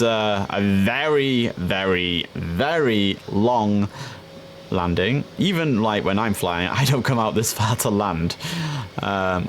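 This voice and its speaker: A young man talks loosely and warmly through his gameplay, his voice sitting fairly low. He drifts along with a chatty, easygoing flow and plenty of filler sounds, over a faint hiss of background noise. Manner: chatty, relaxed, with frequent fillers. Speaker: gaming streamer. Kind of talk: casual gameplay commentary.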